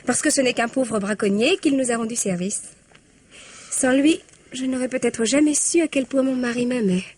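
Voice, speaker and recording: An actress in a 1950s-style French radio drama playing a cheerful, talkative and naive wife. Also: female voice, fairly high pitch, moderate pace, a little hiss or hum, natural voice. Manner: warm, talkative.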